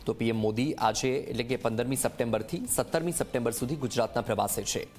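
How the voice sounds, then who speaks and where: Male news anchor, mid-pitched voice, live bulletin delivery over background music. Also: fast talker, darkish tone. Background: music bed under the voice.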